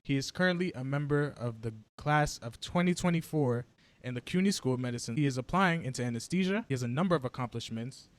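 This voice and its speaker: A man's voice: medium pitch, lively intonation, fast, hardly any pauses. A man hosting a podcast interview, introducing his guest with enthusiasm and an easy, friendly laugh. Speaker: podcast host.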